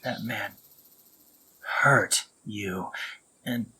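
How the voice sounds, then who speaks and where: Man doing a remorseful spider monster man, low bright tone, slow halting speech, stammers, soft whispers, audio drama. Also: slight background noise, full character voice, poor audio. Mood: soothing. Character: gentle remorseful male spider monster, young, protective.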